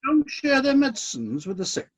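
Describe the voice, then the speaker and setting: A man acting in a radio play as God, a disillusioned but decisive ruler of heaven, with indignant questions and deliberate commands. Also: very high-pitched, huge pitch swings, full character voice. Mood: incredulous, dismayed.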